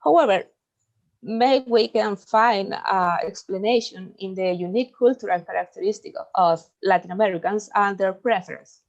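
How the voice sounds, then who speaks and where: A young Chilean woman, a native Spanish speaker, carefully reading a research presentation in English with halting ums. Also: mid-range voice, darkish tone, highs cut off.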